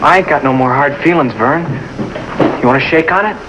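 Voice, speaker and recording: An actor playing an earnest, peace-seeking backwoods son with a rural American accent in a 1950s television drama. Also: male voice, fairly high pitch, brisk, lo-fi sound, natural voice. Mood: conciliatory. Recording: noisy old TV soundtrack.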